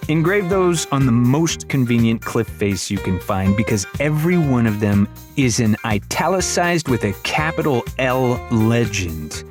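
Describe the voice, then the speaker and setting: A man's voice: medium pitch, sing-song, a little slow, few pauses. A man narrating a fantasy story for young readers in a theatrical, wry storytelling manner. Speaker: audiobook narrator. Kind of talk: dramatic scripted narration of a middle-grade fantasy. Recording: music behind the voice.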